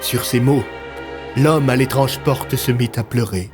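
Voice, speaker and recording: A man's voice: mid-range voice, a little slow. Dramatic male storyteller over prominent music. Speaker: narrator. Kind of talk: dramatic scripted story narration. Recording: loud music bed.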